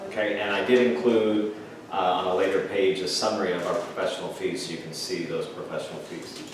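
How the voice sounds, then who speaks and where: A man's voice: deep voice, steady tone, fairly quick. A man giving an explanatory financial report at a board meeting in a plain-spoken, informal way. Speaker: finance officer presenting a report. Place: indoor public board meeting room. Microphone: distant room microphone. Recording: echoey meeting room, distant mic, faint noise.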